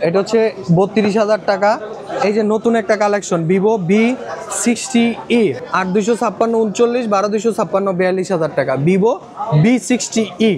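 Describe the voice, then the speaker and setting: Fast, high-pitched Bangladeshi phone seller, male. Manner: rattles off models and prices, repeating them. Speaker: phone shop seller presenting stock and prices. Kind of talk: sales pitch to viewers in a shop walkthrough. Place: electronics shop in a busy shopping complex. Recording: busy shopping mall crowd behind voice. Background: crowd chatter.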